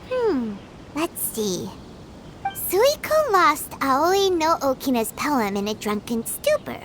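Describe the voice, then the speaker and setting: A woman voicing a tiny, excitable childlike fairy companion in a video game, squeaky and given to exclaiming. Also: heavily acted. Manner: exclamatory, speaks of self in third person.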